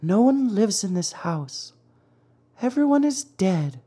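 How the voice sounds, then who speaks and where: A man voicing a ghostly young maiden in a storybook, weak, hushed and lifeless. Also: high voice, very animated, slow pace, breathy edge, pitched above the performer's own voice, exaggerated voice. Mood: faint, mournful.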